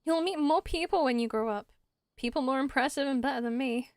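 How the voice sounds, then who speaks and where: A woman voicing a kindly, protective young village woman in a game, gentle and mildly scolding. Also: high-pitched, brisk, dry recording, pitched below the performer's own voice. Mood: gentle, reassuring.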